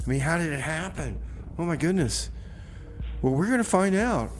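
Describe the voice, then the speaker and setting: A man's voice: a little high, lively intonation, moderate pace. Folksy male radio DJ, faint noise. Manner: rambling, folksy. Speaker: community radio DJ and show host. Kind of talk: casual, comic radio banter and song announcing.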